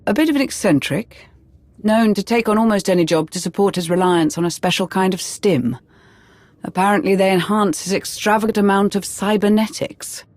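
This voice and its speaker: An actress playing a composed intelligence briefer in a video game, measured and matter-of-fact. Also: female voice, medium pitch, darkish tone, no echo, lightly acted. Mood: informative, composed. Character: composed female intelligence briefer in a space adventure.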